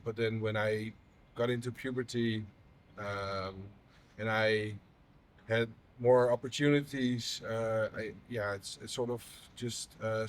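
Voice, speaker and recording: Man, 30s, from the Netherlands, halting, open, personal conversation. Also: mid-range voice. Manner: halting, many fillers and restarts. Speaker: patient advocate interviewer.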